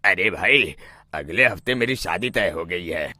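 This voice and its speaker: A man's voice: low-pitched, expressive, a little slow, pushed and bright, no echo, exaggerated voice. A man voicing a timid young groom-to-be in a cartoon, nervous and pleading. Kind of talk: cartoon voice acting.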